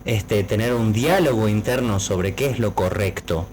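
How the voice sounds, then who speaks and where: A man's voice: fairly low pitch, moderate pace, darkish tone. A man co-hosting a casual podcast, explaining at length with many fillers. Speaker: podcast co-host.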